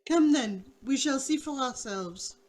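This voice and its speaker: High-voiced French governess, slow and measured. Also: female voice, lively intonation, a little mellow. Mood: soothing, firm. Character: French governess, gently firm and soothing. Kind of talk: radio drama acting.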